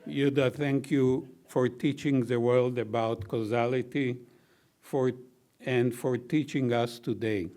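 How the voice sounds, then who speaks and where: Older guy with a baritone, slow careful thank-you speech at a podium, a dry joke now and then. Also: steady tone. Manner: slow, measured, gently humorous. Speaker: honoree giving closing remarks. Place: university reception hall.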